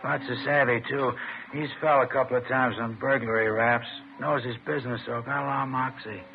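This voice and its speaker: A man's voice: medium pitch, fairly even intonation, a little fast, lightly acted. An actor playing a down-on-his-luck street informant in a 1950s radio drama, talking in colloquial slang. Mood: guarded, confiding. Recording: noisy, tinny vintage radio recording.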